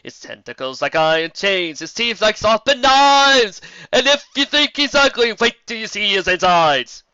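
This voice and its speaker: A man's voice: high-pitched, big pitch swings, sharp tone, clear, resonant tone, dry recording, muffled recording, pitched above the performer's own voice, exaggerated voice. Man singing as a mysterious zebra bard. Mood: ominous singing. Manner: sung, rhyming and slow.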